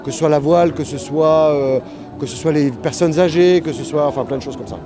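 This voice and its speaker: A man's voice: a little high, a little fast. A man being interviewed as a painter, talking casually and enthusiastically outdoors at a festival. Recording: music behind, outdoor event.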